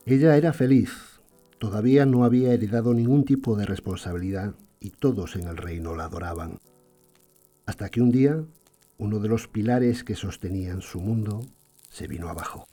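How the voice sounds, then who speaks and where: A man playing a solemn storyteller, narrating a fairy tale in a measured, dramatic way. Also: on the low side, warm tone, soft background music, natural voice.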